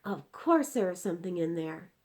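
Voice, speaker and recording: A woman's voice: fairly low pitch, expressive, moderate pace, a little mellow, lightly acted. A woman voicing an indignant, distressed giant from a storybook, exclaiming in mangled grammar and drawing out words emphatically. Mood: emphatic explaining.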